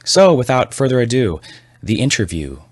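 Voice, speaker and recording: A man's voice: mid-range voice, very animated, normal speed. A man hosting a scripted comedy podcast with a wry, mock-serious delivery. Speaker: podcast host.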